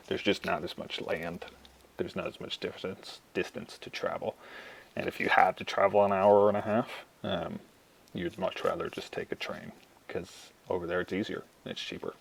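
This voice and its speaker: Young Canadian male, student presenter. Low register, very creaky, hesitant informal delivery. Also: low-quality recording. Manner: hesitant, halting, thoughtful phrasing. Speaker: university student. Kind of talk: informal spoken class presentation to camera.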